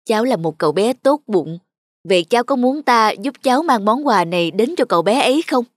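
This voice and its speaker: A woman's voice: on the high side, animated, a little fast, no echo, clean studio sound. A woman voicing a sheepish, kind-hearted homeless man in a cartoon, with a hesitant manner. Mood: tender. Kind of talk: cartoon voice acting. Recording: music behind the voice.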